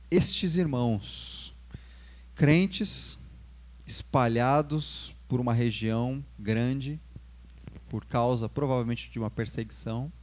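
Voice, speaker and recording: Brazilian pastor preaching with rising emphasis. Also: male voice, mid-range voice, darkish tone. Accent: Brazilian Portuguese. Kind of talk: sermon.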